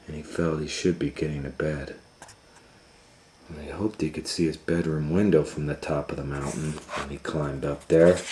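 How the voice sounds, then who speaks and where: A man's voice: low-pitched, a little mellow, a little hiss or hum. A man reading a children's storybook aloud as a storytime host, retelling it informally with musing asides. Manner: improvised asides and musing hums.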